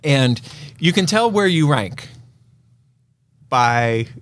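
A man's voice: medium pitch, animated, drawn-out words, fairly bright tone. A man co-hosting a podcast in casual chat, with a rambling, conversational way of talking. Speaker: podcast co-host.

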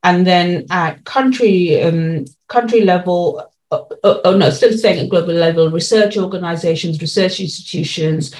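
A woman giving explanatory interview answers as a policy lead, laying out points in measured, formal terms. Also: fairly low pitch, a little mellow, rough recording.

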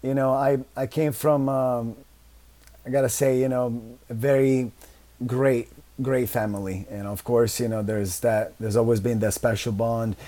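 A man's voice: mid-range voice, steady tone, a little slow, warm tone. A man in his thirties from Italy with an Italian accent, a guest telling his life story in a loose, rambling way full of restarts. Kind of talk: casual interview chat.

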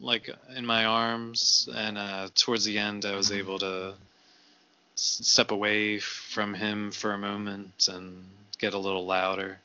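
A man co-hosting a comedy podcast, dry and playful, quick to laugh. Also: lowish voice, completely flat delivery, sharp tone, clean tone, dull audio. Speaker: podcast co-host. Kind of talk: comedic casual chat.

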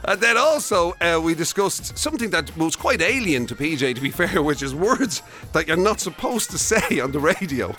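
A man's voice: on the high side, big pitch swings, normal speed, few pauses, sharp tone, faint music behind. An Irish man chatting and teasing casually as a radio co-host.

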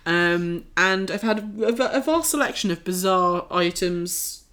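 A woman's voice: fairly low pitch, unhurried, fairly bright tone, smooth. A woman co-hosting a podcast, chatting informally and reading out listings in a casual way. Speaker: podcast co-host.

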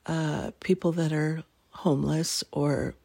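Canadian lady podcast host telling family stories, kinda hoarse, jokey, losing her voice. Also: deep voice, steady tone, slow pace, warm tone. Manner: laughing, self-deprecating jokes. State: losing her voice, throat-clearing. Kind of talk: casual storytelling chat.

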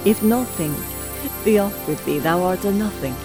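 Sharp-tongued lady doorkeeper played by a woman, low voice, pointed and teasing, over music. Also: normal speed, lightly acted. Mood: dismissive. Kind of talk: audio drama acting.

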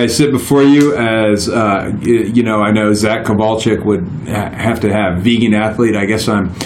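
A man's voice: on the low side, slight background noise. Rambling man in his forties, roomy sound. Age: 40s. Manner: rambling, with many restarts and ums. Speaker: podcast guest. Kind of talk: casual chat.

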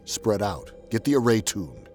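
An actor playing a ruthless traitor commander who gives measured, menacing orders in an audio drama. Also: male voice, fairly low pitch, big pitch swings, a little mellow. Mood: commanding. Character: ruthless male traitor commander, cold schemer. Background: music.

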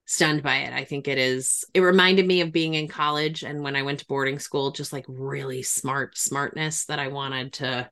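A woman's voice: a bass voice, steady tone, normal speed, hardly any pauses, fairly bright tone. A woman hosting a podcast in a casual conversational interview, speaking in an effusive, emphatic way. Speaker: podcast host and grief expert.

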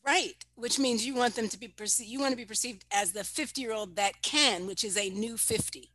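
Bright high-voiced woman, playful and teasing. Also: moderate pace, no echo. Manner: animated, laughs often, playful teasing. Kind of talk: casual chat.

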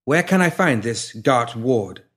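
A man voicing a slight, composed young woman who speaks in a precise, deadpan tone. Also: mid-range voice, a little slow, breathy edge, voice pushed up. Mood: deadpan cold.

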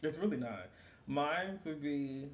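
A man's voice: a little high, slow, slight background noise. Man, casual chat, playful and joking, echoey room. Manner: playful, laughing, animated storytelling.

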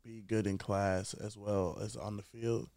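Low-voiced teenage guy, casual and slangy. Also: flat delivery, a little slow, a little mellow, dry recording. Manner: slangy, informal. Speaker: podcast guest, college football wide receiver. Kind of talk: casual chat. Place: inside a truck.

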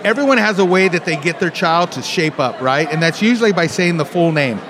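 A man hosting a casual chat in a gushing, animated way, acting out his stories. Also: high voice, brisk. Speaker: host. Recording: noisy crowd in the background.

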